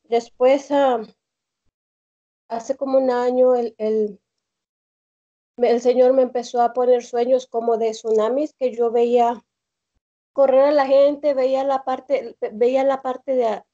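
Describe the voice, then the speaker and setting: A young woman with a Mexican accent calling in on a video call from home, telling her story haltingly with many fillers and restarts. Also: a little high, little pitch movement, mellow. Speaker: caller. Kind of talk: hesitant personal testimony on a video call. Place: home room indoors. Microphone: laptop or phone on a video call. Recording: dull lo-fi video call audio.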